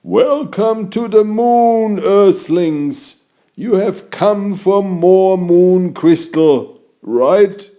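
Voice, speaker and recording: A man voicing a gentle, welcoming old bearded man in the moon for a children's storybook. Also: high-pitched, drawn-out words, voice pushed up. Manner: jovial, welcoming, exclamatory.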